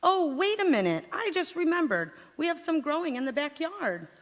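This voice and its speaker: A woman's voice: high voice, big pitch swings, normal speed. A woman playing a breezy, naive, overconfident housewife in a vintage radio comedy on stage. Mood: naive, dithering. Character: scatterbrained, cheerfully overconfident housewife in a radio sitcom. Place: large indoor hall, live stage performance. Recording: reverberant church hall stage recording.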